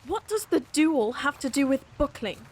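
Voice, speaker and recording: A woman's voice: high-pitched, big pitch swings, moderate pace, a little hiss or hum. A woman playing a proud, indignant lady knight in a fantasy audio roleplay, haughty and formal with a cutting tongue. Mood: terse, wary.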